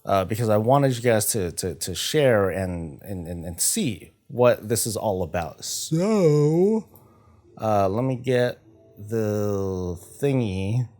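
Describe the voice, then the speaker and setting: A man chatting casually on a gaming livestream, halting and often stumbling over repeated words. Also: lowish voice, lively intonation, a little mellow. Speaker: streamer, host of a morning show. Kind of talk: casual livestream chat about games.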